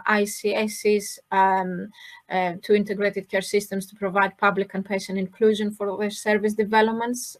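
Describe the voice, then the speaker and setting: A woman with a Greek accent presenting her charity's services on a webinar over a video call, hesitant with many fillers and restarts. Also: mid-range voice.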